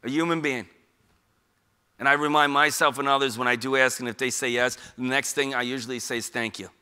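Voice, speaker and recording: A man's voice: medium pitch, fairly even intonation, fairly quick, sharp tone, smooth. A middle-aged man with a New York accent, giving an informal public talk on suicide prevention, conversational and emphatic, with frequent rhetorical questions. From Queens, New York.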